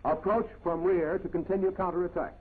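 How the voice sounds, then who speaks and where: Tinny high-pitched 1940s training film narrator, male. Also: steady tone, fairly quick, husky. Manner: clipped, commanding step-by-step instruction. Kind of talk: scripted 1940s military instructional narration. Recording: tinny band-limited old 1940s film soundtrack.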